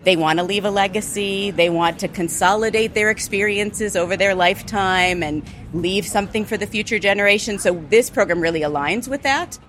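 A woman from a nonprofit explaining calmly and thoughtfully in an interview clip. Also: medium pitch, fairly quick, few pauses, a little hiss or hum. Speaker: nonprofit representative.

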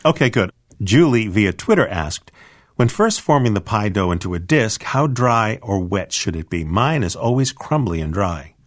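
A man's voice: lowish voice, very animated, normal speed, no room sound, studio quality. A man hosting a radio food show, reading his links with friendly, jokey asides.

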